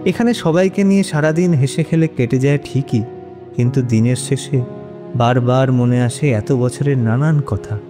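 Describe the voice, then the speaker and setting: An actor playing a kindly retired grandfather who tells stories of his past in an indulgent, unhurried manner for an animated series. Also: mid-range voice, natural voice. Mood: reflective. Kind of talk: animated audio story narration. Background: background music.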